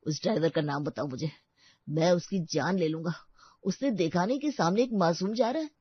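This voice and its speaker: A woman's voice: lowish voice, normal speed, husky, no room sound, lo-fi sound. A woman voicing a blunt, emotional, indignant male relative in a Hindi cartoon family story. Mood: furious.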